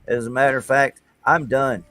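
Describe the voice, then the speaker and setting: A man's voice: mid-range voice, little pitch movement, moderate pace, background noise. Chatty man hosting a music show. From Texas. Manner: rambling, enthusiastic, with fillers and restarts. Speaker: host of a local music show. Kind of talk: casual livestream chat.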